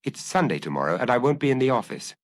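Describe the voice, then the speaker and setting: A man plays a calm middle-aged police chief in an audiobook detective story. He questions deliberately and briefly, with lively rises and falls in pitch and a dry sound. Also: no room sound, lightly acted. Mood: guarded. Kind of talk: acted audiobook dialogue.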